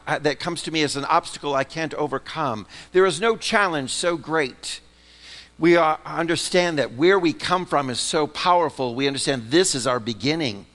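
A man delivering a sermon in a large church, his voice fairly high for a man and trailing off into a long reverb. He swings from ringing, emphatic phrases down to near-whispered, hushed lines. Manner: dramatic swings between loud emphatic and soft hushed delivery. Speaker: pastor.

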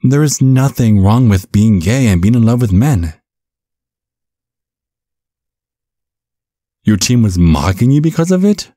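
A man playing a caring, bumbling vampire father in an ASMR roleplay, speaking gently with a stammering, reassuring manner. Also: mid-range voice, lively intonation, normal speed, no room sound, studio quality, lightly acted. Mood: surprised, concerned. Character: caring, bumbling vampire father, looks twenty-five, over a century old.

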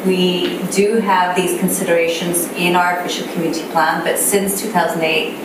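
Soft-voiced woman in an echoey chamber. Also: on the low side, flat delivery. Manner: measured, explanatory, with frequent fillers. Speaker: city planner presenting. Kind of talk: public meeting presentation. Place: council meeting room. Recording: echoey room, distant mic, background noise.

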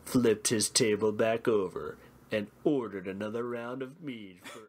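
A man's voice: on the low side, unhurried, breathy edge. A man co-hosting a comedy podcast, playfully improvising fantasy stories in a casual way. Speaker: podcast co-host and storyteller.

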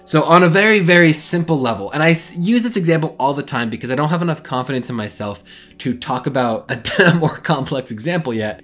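A young man chats straight to his webcam from a small bedroom. He explains ideas casually and stays animated, with his speaking pitch sitting in the middle. Faint music plays under his voice. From English-speaking. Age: young adult. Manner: animated, gestures a lot while explaining. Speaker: language learning vlogger. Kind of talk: casual talking-head vlog.

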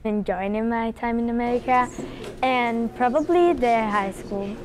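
High-voiced Spanish teenage girl. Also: measured pace. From Spain. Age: teens. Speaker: exchange student being interviewed. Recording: busy room chatter behind.

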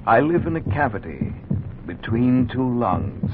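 An actor playing the ancient human heart as a solemn, oratorical narrator in a 1940s radio drama. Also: male voice, mid-range voice, very animated, a little slow, narrow, tinny audio. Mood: solemn, grandiose. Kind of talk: 1940s radio drama acting, poetic monologue. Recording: scratchy, humming 1940s radio recording.

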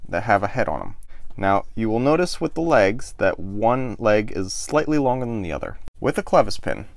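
A man in his thirties, with a low gravelly fry under his words, talks through a how-to in a calm, methodical way. He sits close to the camera in a small cabin, and the sound is dry and tight with no room echo. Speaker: sailing show host. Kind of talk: step-by-step how-to explanation. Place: small wood-paneled boat cabin, indoors. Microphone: camera close in front of the face.

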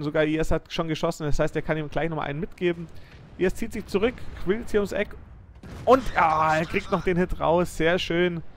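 A young man giving lively, excited play-by-play commentary over a tank game replay, praising the moves as he goes. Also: fairly high pitch, a little hiss or hum.

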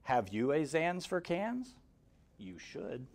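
A man in his forties reading a rhyming children's picture book aloud, expressively, in a measured sing-song with clear emphasis. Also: on the high side, very animated, slight background noise. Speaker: guest story reader for kindergarteners. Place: indoor room, seated in front of a banner. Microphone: lapel mic.

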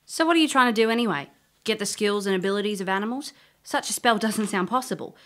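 An actress playing a sharp, wry woman investigator in a fantasy audio drama, inquisitive and plain-spoken. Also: mid-range voice, fast talker, natural voice. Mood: wry, challenging.

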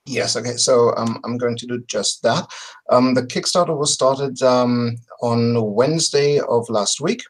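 A middle-aged man explaining and telling his story casually as a podcast guest, in halting, measured phrases from a home video call. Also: mid-range voice. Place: home room with bookshelves. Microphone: video call, webcam distance.

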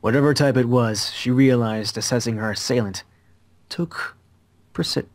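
A man's voice: medium pitch, measured pace. A young adult man reading story narration aloud in an amateur style, on a headset in a small room.

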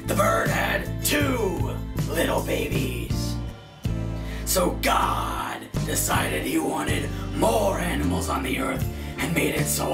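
A gravelly, earnest parody superhero, tells a story with halting, mock-heroic drama. The pitch swings widely and the pace drags. It is recorded in an echoey small room with music underneath. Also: male voice, full character voice. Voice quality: gravelly, breathy growl. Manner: dramatic, halting, deliberate delivery. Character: low-budget parody Batman, gravelly earnest masked hero. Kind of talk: comedic story reading in character. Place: small bedroom, indoors. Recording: roomy echo, music underneath.

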